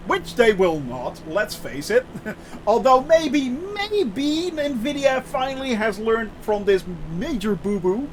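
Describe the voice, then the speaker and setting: Chatty Dutch-accented man, high voice. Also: slight background noise, low-quality recording. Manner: chatty, many filler "uh"s, reads and answers chat. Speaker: flight sim streamer. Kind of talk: casual live-stream chat.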